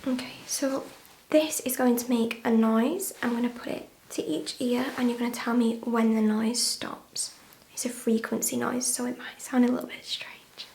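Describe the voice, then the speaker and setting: A woman speaking in a soft, breathy whisper, gently reassuring and instructing the listener as a nurse in an ASMR medical exam roleplay. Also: a little high, steady tone, normal speed, a little hiss or hum. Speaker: ASMR creator playing a nurse.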